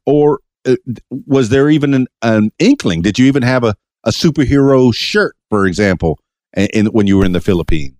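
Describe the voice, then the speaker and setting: A man's voice: medium pitch, lively intonation, brisk, a little mellow, dry recording, clean studio sound. A man from Miami hosting a podcast, asking rambling, playful questions with many stammers and restarts. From Miami, USA. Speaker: podcast host. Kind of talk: casual interview.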